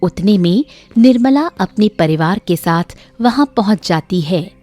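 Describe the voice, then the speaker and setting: A woman's voice: mid-range voice, lively intonation, measured pace, warm tone, faint music behind. A woman narrator reading a scripted moral story for children.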